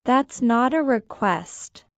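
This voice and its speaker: A woman language teacher slowly repeating scripted lines for shadowing practice, enunciating each one with care. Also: on the high side, expressive, gravelly, slight background noise. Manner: careful, deliberate enunciation.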